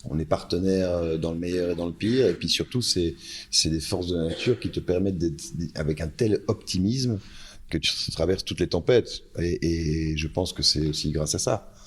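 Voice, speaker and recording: A man's voice: low voice, normal speed, slightly rough. A man, a brand founder, speaking as a guest on a panel and often repeating words while he searches for them. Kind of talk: panel conversation. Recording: live event with a panel.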